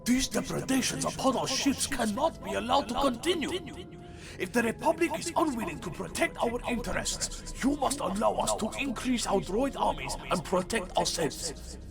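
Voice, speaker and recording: A pompous male alien trade envoy in a space-saga dub. The voice is high and creaky, formal and indignant in its oratory, with music behind it. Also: lively intonation, moderate pace, exaggerated voice.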